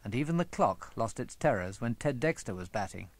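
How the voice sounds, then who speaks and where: A man reading a scripted historical narration about sport in a measured documentary style. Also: mid-range voice, lively intonation.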